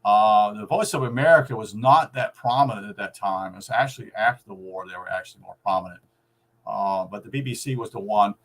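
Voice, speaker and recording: A man giving an unscripted, informal explanation in a halting, rambling way, often searching for words. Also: lowish voice. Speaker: video host explaining shortwave radio. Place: at home.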